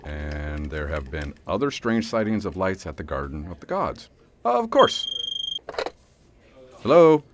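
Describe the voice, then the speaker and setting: A man's voice: sits low, sing-song, a little slow, pauses often, noisy background, full character voice. A man in an audio drama playing a wry, exasperated local sheriff who grumbles at himself. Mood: wry, uneasy. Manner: wry, self-deprecating muttering.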